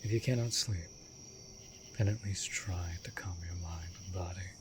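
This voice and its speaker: A man's voice: low-pitched, lightly acted. A man playing an immortal vampire master, a tender and brooding lonely lover, speaking formally and often breathily in a romantic fantasy audio roleplay. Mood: gentle teasing. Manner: formal archaic wording, slow and deliberate, often dropping to breathy whisper. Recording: steady background noise of night crickets. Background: night insects and crickets.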